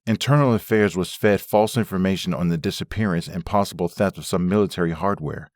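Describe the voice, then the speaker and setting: Caring, serious husband male actor, deep register, measured everyday delivery, dry sound, for a comic drama dub. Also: no echo, close to an everyday voice. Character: earnest, caring husband working through an investigation.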